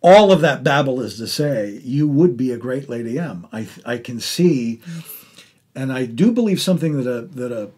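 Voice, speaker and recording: A middle-aged man hosting an interview in a casual, effusive way, rambling and halting as he talks about the arts. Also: medium pitch, expressive, darkish tone, husky. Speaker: podcast host interviewing an actor. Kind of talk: casual, enthusiastic arts conversation.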